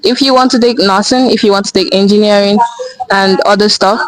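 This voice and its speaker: A teenage high school student from Nigeria answering interview questions, starting haltingly and then explaining steadily. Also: fairly even intonation, low-quality recording. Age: teens.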